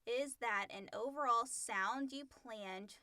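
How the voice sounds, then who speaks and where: A woman's voice: on the high side, takes it slowly, sharp tone, no room sound. A woman co-hosting a casual question and answer podcast, speaking in an informal conversational style. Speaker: podcast co-host reading listener questions. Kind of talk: casual Q&A chat with read-out questions.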